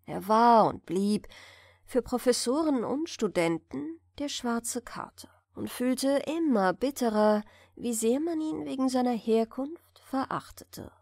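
A woman's voice: a little high, animated, measured pace, vocal fry, darkish tone, dry recording. A woman narrating a bedtime fairy tale as an audiobook reader, close to the microphone.

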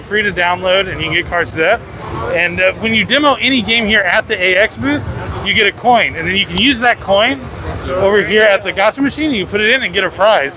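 Fast-talking upbeat man in a crowded hall. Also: sits high. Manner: upbeat and promotional, frequent fillers while walking. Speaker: booth guide for a card game company. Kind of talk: walking tour explanation. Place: busy convention hall indoors. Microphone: handheld mic with windscreen. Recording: dull audio with loud crowd noise.

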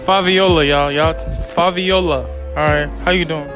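A man's voice: fairly high pitch, steady tone, a little slow. A young man interviewing women in a clothing store, using casual slang and pushy banter. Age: young adult. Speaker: street interviewer. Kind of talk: playful prank interview. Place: large indoor clothing store. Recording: loud music behind.

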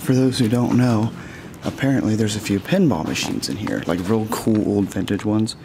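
A man's voice: lowish voice, moderate pace. A young man chatting casually and jokingly in slang while exploring an empty abandoned factory, picked up on a handheld camera. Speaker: urban explorer. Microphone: handheld camera mic. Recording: noisy handheld camera audio.

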